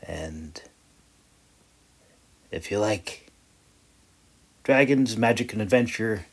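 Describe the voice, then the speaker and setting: A man who narrates and shares his own audiobook stories, talking with deliberate, broken phrasing and pauses. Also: fairly low pitch, animated, breathy edge. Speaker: audiobook narrator and author-creator. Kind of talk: scripted story narration, then casual thanks to listeners.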